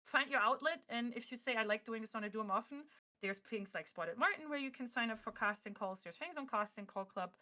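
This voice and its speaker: Bright, forceful woman's voice in casual talk. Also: on the high side, brisk. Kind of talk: casual panel talk, giving advice and opinion.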